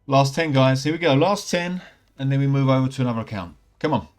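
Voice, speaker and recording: A man, slightly croaky from a cold, chatting casually and rambling as he opens game packs for his channel. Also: medium pitch, quick pace. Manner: chatty, upbeat, rambling with many fillers. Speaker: gaming channel host. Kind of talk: casual commentary while opening game packs.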